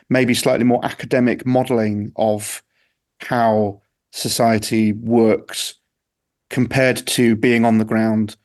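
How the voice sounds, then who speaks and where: A man's voice: medium pitch, darkish tone. A man hosts a podcast with eager energy. His questions are long and loose, and he often restarts partway through a sentence. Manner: enthusiastic, rambling questions. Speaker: podcast host. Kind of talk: podcast interview.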